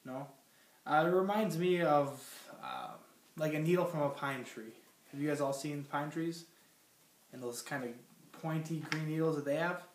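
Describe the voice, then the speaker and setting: A man's voice: a little high, moderate pace. A young man from Minnesota with a cold, teaching a classroom lesson and reading a story aloud in a plain, teacherly way with many fillers. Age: young adult. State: has a cold. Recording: roomy sound from far off.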